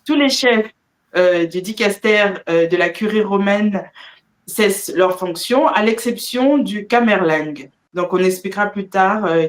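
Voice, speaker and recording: A woman presents a live religious discussion. Her voice sits at an average pitch. She speaks with some hesitation, moving between addressing guests and reading out explanations. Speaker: host of a religious discussion show. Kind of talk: hosting a live online talk, partly reading out notes.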